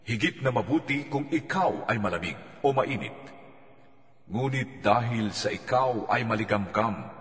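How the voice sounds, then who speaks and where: A Filipino man playing a solemn, commanding divine lord in a scripture audio drama, speaking in a measured, declamatory way. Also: mid-range voice, animated. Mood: stern rebuke. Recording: echoey long reverb under loud music.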